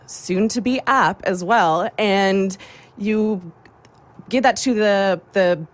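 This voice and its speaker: A woman's voice: mid-range voice, slow. A woman speaking as an upbeat, enthusiastic guest on a radio show, casually promoting local community work. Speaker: radio show guest, designer and chamber board member. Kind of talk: casual promotional interview talk.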